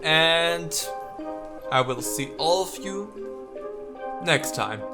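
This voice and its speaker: A man giving casual let's play commentary as a gaming video host, haltingly thinking out loud as he goes. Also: a little high, expressive, over loud music.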